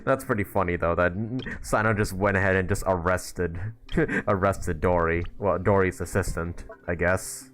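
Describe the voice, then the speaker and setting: A man's voice: deep voice, steady tone, moderate pace, fairly bright tone. A man streaming a video game, giving casual, chatty commentary and thinking aloud in a laid-back way. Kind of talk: casual live gameplay commentary. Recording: game music under the voice.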